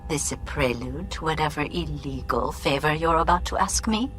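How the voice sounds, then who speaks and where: A woman playing a shrewd older fixer, dry, imperious and deliberate, with formal phrasing in a video game. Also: low-pitched, fairly bright tone, slight background noise. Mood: dry, amused.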